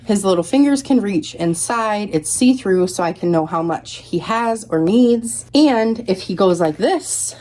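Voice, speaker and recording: A young woman with a slightly low voice, stuffy and a bit breathless from a cold. She chats brightly and directly to her viewers, showing things off one at a time in a casual, friendly way. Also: a little hiss or hum. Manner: chatty, upbeat, addresses viewers directly. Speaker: vlogger. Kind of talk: casual show-and-tell vlog.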